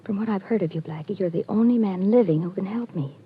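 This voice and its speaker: An actress playing a desperate young woman begging for help in a 1940s radio drama, pleading and often hushed. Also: mid-range voice, quick pace, lightly acted. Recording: lo-fi old radio broadcast, faint hiss.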